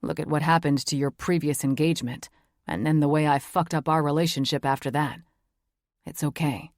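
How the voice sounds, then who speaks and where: Woman voicing a low-pitched playful boyfriend. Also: fairly even intonation, moderate pace, a little mellow, no echo, studio quality. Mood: tender. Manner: teasing, earnest, swears casually. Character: young man, playful devoted boyfriend. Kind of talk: audiobook character voice.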